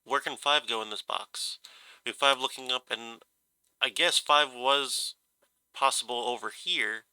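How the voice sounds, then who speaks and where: Brief: congested man solving a puzzle aloud, bright gravelly tone, dry close sound, halting and self-correcting. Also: medium pitch, dry recording, poor audio. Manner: hesitant, musing, self-correcting. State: stuffy nose, slightly scratchy voice from a cold. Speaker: puzzle solver.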